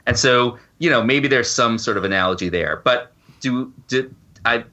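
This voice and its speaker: A man's voice: mid-range voice, moderate pace, bright, forceful tone, muffled recording. A middle-aged man talking casually as a podcast guest, stammering a little and thinking aloud as he answers. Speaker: guest novelist and law professor. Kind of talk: casual conversational podcast interview.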